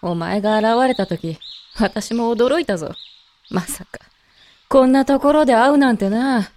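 A woman's voice: on the high side, a little slow, a little mellow, natural voice. A woman playing a proud, blunt pregnant werewolf in a voice drama, with brusque, self-assured, masculine wording. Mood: matter-of-fact, stern. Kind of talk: Japanese amateur voice drama acting.

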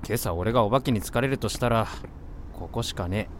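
A woman's voice: extremely low pitch, a little fast, a little hiss or hum. A woman playing a blunt, grumpy but kind-hearted young man in an amateur voice drama. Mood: grumbling. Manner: rough, blunt masculine speech, exasperated retorts. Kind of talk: Japanese amateur voice drama acting.

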